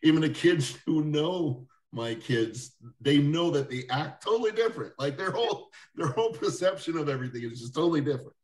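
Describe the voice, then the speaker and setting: A man hosting a casual interview, rambling a little, with restarts and soft laughs. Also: on the high side, animated, a little fast, hardly any pauses. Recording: roomy echo, distant mic.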